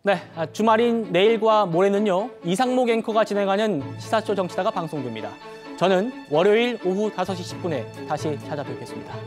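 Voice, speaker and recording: A man anchoring a TV news talk show in a studio, with a measured and formal presenter delivery. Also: high voice, animated, music in the background.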